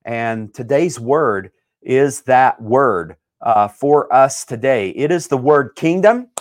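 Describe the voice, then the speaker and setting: A male host greeting viewers on a live show, reading out names and places warmly with many fillers. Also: medium pitch, expressive, slow, dry recording, studio quality. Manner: upbeat, shouting out names with fillers.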